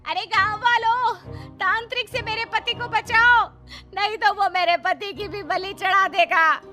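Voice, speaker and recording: A woman's voice: very high-pitched, steady tone, unhurried, pushed and bright, exaggerated voice. A woman voicing a desperate village wife in a cartoon story, pleading and wailing melodramatically. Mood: desperate, grieving. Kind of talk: Hindi cartoon story voice acting. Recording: faint music behind.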